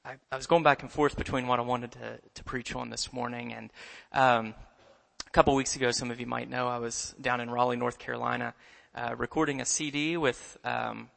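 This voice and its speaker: A young man preaching from the pulpit, his voice gravelly and bright, easygoing and joking with the congregation. The church's long reverb trails after every phrase. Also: medium pitch, fairly even intonation, brisk. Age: 20s. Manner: conversational, self-deprecating humor. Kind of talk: sermon. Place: church sanctuary.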